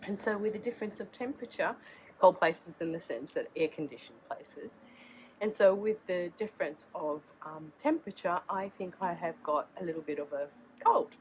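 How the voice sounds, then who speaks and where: A woman's voice: fairly low pitch, animated, normal speed, slight background noise, low-quality recording. A woman with a sore throat and a cold, chatting casually to camera outdoors as the host of her tarot channel, rambling and restarting sentences. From Australia.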